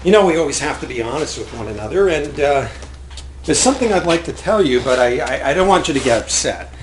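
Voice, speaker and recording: A man's voice: mid-range voice, animated, quick pace, natural voice. A man playing a nervous, evasive longtime husband in a stage comedy, hesitant and stammering. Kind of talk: stage acting in a one-act comedy play. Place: indoor theater stage, recorded live. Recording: roomy live theater recording, faint noise.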